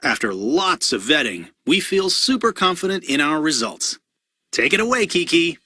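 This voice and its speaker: Bright, husky-edged announcer voice, dry. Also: medium pitch, very animated, moderate pace, no room sound. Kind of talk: scripted announcement.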